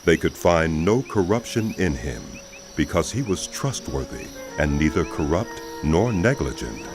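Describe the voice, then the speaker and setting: A man narrates scripture in a dramatized audio production. His voice is low, with a very lively range of intonation. Music plays clearly behind the reading. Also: measured pace.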